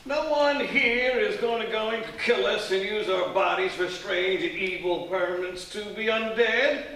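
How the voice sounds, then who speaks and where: Guy doing a clueless young boyfriend, horror spoof, high voice, distant mic. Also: unhurried, creaky, a bit bright, heavily acted. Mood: dismissive. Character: young boyfriend, dismissive, naive horror victim. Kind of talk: live comic horror radio play. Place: theatre stage before a live audience. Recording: echoey theatre, distant mic, faint noise.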